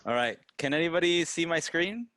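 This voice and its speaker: A man's voice: a little high, lively intonation, brisk, very noisy. A man from Peru raised in the United States, with an American accent, gives an informal online presentation and often checks in with "right?". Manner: frequently tags statements with "right?". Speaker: guest presenter, digital marketer.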